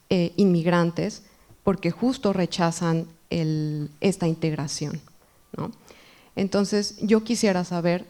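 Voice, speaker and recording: A woman from the audience asking the guest a question, halting and hesitant as she speaks. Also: lowish voice, darkish tone. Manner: hesitant, halting, with many pauses.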